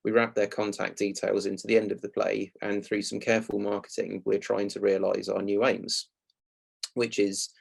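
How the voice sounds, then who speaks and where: A man's voice: fairly low pitch, gravelly, darkish tone, breathy edge. A man giving a prepared talk as the presenter of a project. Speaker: speaker presenting a radio play project.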